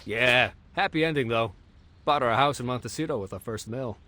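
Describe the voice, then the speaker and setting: A man voicing a self-assured tech entrepreneur and mentor in a cartoon game, casual and chummy with a husky voice. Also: mid-range voice, a little fast, sharp tone, natural voice. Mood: breezy, reminiscing. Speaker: voice actor in a game cutscene. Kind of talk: animated cartoon game acting.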